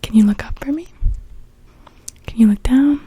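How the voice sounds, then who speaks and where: A young woman playing a gentle, welcoming witch in a soft, soothing whispered ASMR roleplay. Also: sits high, normal speed, breathy edge, no room sound. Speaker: ASMR performer.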